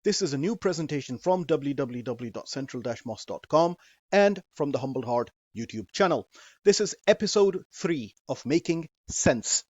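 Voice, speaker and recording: Pakistani man in his forties, higher-pitched voice, earnest and measured, dry slightly dull recording. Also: animated, no echo. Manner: earnest, measured, with Arabic phrases. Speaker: presenter. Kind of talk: scripted talk.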